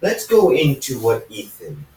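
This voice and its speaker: A man reading fan fiction aloud as an amateur narrator, halting and often going back to repeat phrases. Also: mid-range voice, huge pitch swings, darkish tone. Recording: roomy echo, distant from the mic.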